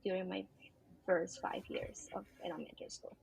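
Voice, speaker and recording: A young woman from Peru, a teacher, talking casually as a podcast guest and pausing often with ums and uhs. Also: fairly low pitch, little pitch movement, gravelly, a little mellow, husky, no echo, low-quality recording. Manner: hesitant, many ums and uhs. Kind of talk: casual interview conversation.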